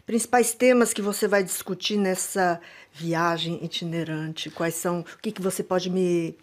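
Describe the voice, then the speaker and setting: A woman interviewing a guest for radio, asking questions in a clear, composed way. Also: on the low side, steady tone, moderate pace.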